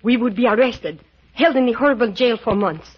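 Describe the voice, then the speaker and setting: Husky, grave, commanding señora. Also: female voice, a little high, a little fast. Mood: urgent, commanding. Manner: formal, dramatic, uncontracted speech. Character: grave, commanding, mysterious Latin American woman ally. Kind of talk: old-time radio drama acting. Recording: muffled old radio recording.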